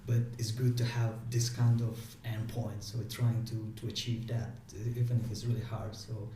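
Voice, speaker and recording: A man who is not a native English speaker, a guest giving casual interview answers in a halting way, with many restarts and fillers. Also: lowish voice, little pitch movement. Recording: echoey room, distant mic, faint noise.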